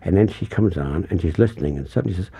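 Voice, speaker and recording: An older man with a lowish voice hosts a conversation. He tells his stories with animated emphasis, breaking off and restarting his sentences as he goes. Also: rapid-fire, creaky, breathy edge. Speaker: radio interviewer. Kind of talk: casual interview conversation.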